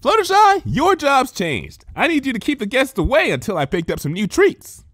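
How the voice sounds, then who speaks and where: Guy doing a hyper bossy party pony girl, super high voice, exclaims everything. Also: wildly swooping pitch, moderate pace, a bit bright, no echo, clean studio sound, exaggerated voice. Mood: commanding. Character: excitable, bossy, upbeat young female party pony.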